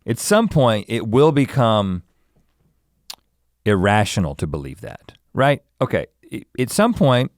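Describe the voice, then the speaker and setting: A man in his forties musing aloud in a casual chat, reflective and halting as he searches for words. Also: lowish voice, big pitch swings, no room sound, studio quality. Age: 40s.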